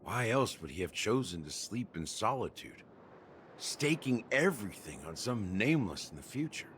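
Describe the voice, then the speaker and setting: An actor voicing a gruff, world-weary veteran in a game, curt and dry. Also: male voice, lowish voice, very animated, moderate pace, fairly bright tone, a little hiss or hum. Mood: wry, resigned. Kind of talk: scripted game voice acting.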